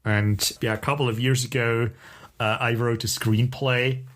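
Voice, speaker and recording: A middle-aged man co-hosting a podcast, chatting casually and enthusiastically with a halting delivery. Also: medium pitch, flat delivery, fairly bright tone. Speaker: podcast co-host. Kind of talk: casual chat about TV shows.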